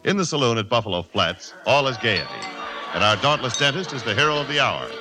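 A man's voice: mid-range voice, animated, fast talker, over music. An American man announcing and narrating a 1950 radio show in a polished, declamatory style. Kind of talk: 1950 radio scripted narration and sponsor pitch.

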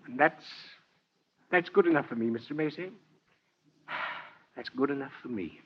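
A man's voice: mid-range voice, unhurried, a little hiss or hum, close to an everyday voice. An older man playing a kindly, earnest old Santa Claus in a 1940s radio drama, with genteel, heartfelt oratory. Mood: earnest, moved. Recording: dull vintage 1949 radio recording, music behind.

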